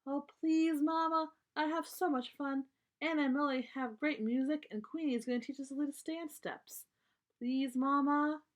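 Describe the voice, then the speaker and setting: A woman voicing an eager, pleading young girl bear cub in a children's storybook, excitable and insistent. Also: sits high, moderate pace, voice pushed up, lightly acted. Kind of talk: children's storybook read-aloud.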